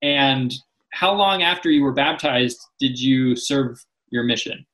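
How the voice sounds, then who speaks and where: A man's voice: medium pitch, a little slow, fairly bright tone, low-quality recording. A man interviewing a guest, asking questions casually and often stumbling and repeating words as he starts.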